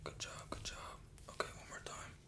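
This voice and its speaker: A man speaks entirely in a breathy whisper, playing a medical examiner in an ASMR roleplay. He gives simple instructions one step at a time and repeats short, soft reassurances as he goes. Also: brisk.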